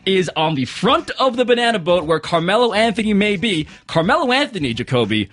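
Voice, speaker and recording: Animated guy in his 30s talking sports in a studio, voice rises and falls a lot. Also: sits high, fairly quick. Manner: animated, storytelling. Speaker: sports journalist guest. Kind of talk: casual studio sports talk. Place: radio studio.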